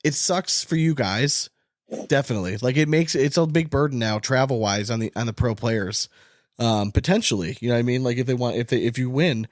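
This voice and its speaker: A man's voice: medium pitch, brisk, no echo, studio quality. A man hosting a casual sports podcast, chatting in a blunt, sweary, slangy way. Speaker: podcast host.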